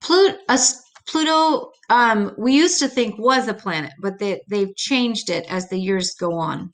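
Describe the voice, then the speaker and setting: A woman's voice: mid-range voice, measured pace, fairly bright tone. An older woman reading an educational children's book aloud, adding simple, patient explanations as she goes.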